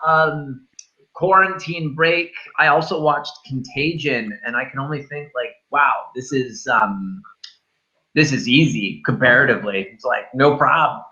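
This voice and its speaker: A man's voice: medium pitch, unhurried, bright, forceful tone, muffled recording. A man hosting a casual movie review chat, speaking informally and jokily with the odd swear word. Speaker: film review show host.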